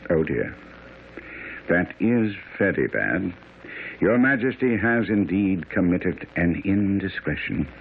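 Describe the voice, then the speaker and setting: An actor playing a shrewd, composed gentleman detective in a radio drama, clipped and formal as he questions. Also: low voice, animated, slow, full character voice. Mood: probing. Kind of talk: 1970s radio drama acting. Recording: tinny, noisy old radio broadcast.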